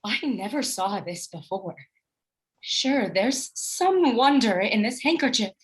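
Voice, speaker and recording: Woman as young noblewoman in Shakespeare audio play: very high pitch, husky grain, earnest and troubled devotion. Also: lively intonation, slow pace, full character voice. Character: earnest, distressed young noblewoman, a loyal wife. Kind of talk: Shakespearean radio play acting.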